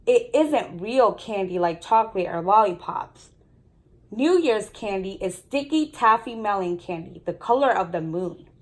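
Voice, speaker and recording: A woman reading a children's story aloud in an expressive storytelling manner. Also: mid-range voice, unhurried, low-quality recording. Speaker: storybook reader. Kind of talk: children's story read-aloud with brief commentary.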